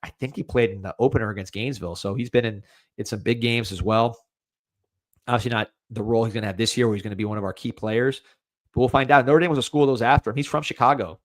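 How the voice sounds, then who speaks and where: A man's voice: medium pitch, steady tone, fast, no echo. A young adult man with a light Cuban-American accent hosting a casual livestreamed sports podcast, rambling with restarts and a lot of "man.". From United States. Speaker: podcast host.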